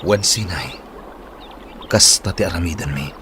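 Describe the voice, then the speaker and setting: A man's voice: sits low, expressive, unhurried, noisy background. A man playing a proud, ardent suitor in an Ilocano-accented radio drama. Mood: tender, ardent. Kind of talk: Philippine radio drama acting. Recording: music playing behind the voice. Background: music.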